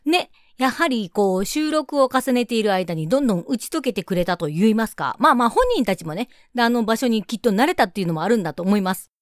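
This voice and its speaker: Lady radio host chatting casually, highish voice that moves around a lot, pretty dry sound. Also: lively intonation, quick pace, hardly any pauses, no echo.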